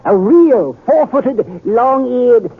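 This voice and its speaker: An actor playing an excitable old Western miser with a raspy, creaky voice in a 1950s radio drama. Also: male voice, extremely high pitch, drawn-out words, narrow, tinny audio, exaggerated voice. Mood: giddy, joyful. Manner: agitated, exclamatory, repeating himself. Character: miserly old Western man, frightened then joyfully reformed. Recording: old thin-band 1950s radio recording.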